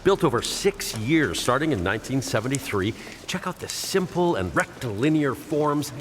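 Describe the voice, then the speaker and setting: A man's voice: medium pitch, big pitch swings, normal speed, a crowd in the background, natural voice. A man playing an earnest, jokey longtime bus tour guide, talking in enthusiastic informative patter in an audio drama. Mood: upbeat, informative.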